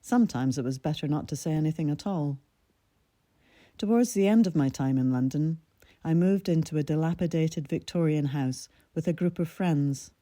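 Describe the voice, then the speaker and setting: A woman in her sixties reads her own essay aloud in a measured, reflective storytelling voice. Her mid-Atlantic accent carries a light Scottish lilt, and her tone is deeply mellow and dark. The sound is dry and close, with no room around it. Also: no room sound. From Scotland. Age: 60s. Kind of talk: scripted personal essay reading.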